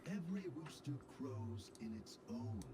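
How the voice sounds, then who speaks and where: A man playing a serene, sage robot monk in a video game, speaking in measured proverbs. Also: a little high, big pitch swings, dark tone, husky, exaggerated voice. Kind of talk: video game character voice line.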